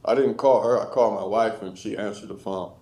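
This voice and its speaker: A man's voice: lowish voice, a little fast, dark tone. A man with an American accent answering questions in court as a defendant, plain-spoken and defensive. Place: indoor courtroom. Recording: echoey room sound.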